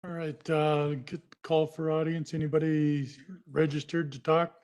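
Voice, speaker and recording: A man's voice: a little high, little pitch movement, measured pace, a little mellow. A man serving as vice chair, running a city commission meeting in a plain, folksy way with many fillers. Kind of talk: public meeting procedure.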